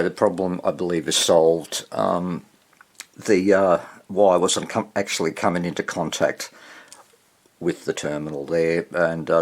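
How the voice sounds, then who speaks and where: A man giving casual hands-on repair narration, haltingly muttering to himself as he works and thinks aloud. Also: sits low. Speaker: hobbyist radio restorer, presenter.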